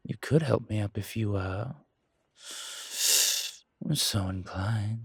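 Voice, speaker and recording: Man playing a purring, breathy, flamboyant flirt. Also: on the low side, dry recording, full character voice. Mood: flirtatious, amused. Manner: purring, theatrical, teasing, drawn-out words. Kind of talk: roleplay audio drama.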